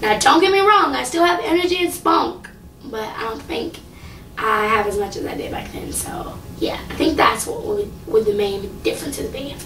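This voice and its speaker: A young woman rambles through a casual talk to camera, full of fillers. Her voice sits fairly high for a woman but carries a light rasp. It was recorded a little way off the mic, in an echoey room. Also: sing-song, normal speed, fairly bright tone, distant mic, a little hiss or hum. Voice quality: low, slightly raspy. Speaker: singing contestant.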